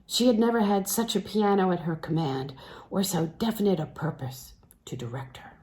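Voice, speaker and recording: A woman reads a literary novel aloud in an expressive storytelling style, with dramatic phrasing that can soften into hushed tones. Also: on the low side, normal speed. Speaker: audiobook-style reader.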